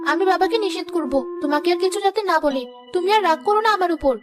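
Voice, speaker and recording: A woman voicing a meek, hardworking young stepdaughter in a cartoon, soft and pleading. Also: extremely high pitch, fast talker, music in the background. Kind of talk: cartoon dubbing.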